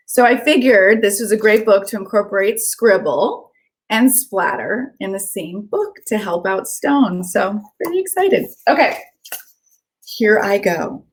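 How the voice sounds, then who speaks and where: A woman children's author chatting casually and reading aloud to children, with an excitable manner. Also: mid-range voice, normal speed. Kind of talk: casual chat and animated read-aloud for children.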